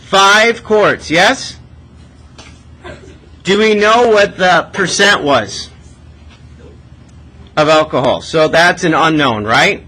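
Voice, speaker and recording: A man's voice: a little high, slow, pauses often, pushed and bright. A man teaching math step by step in a conversational way, asking checking questions as he goes. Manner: conversational, often tags questions with "right?".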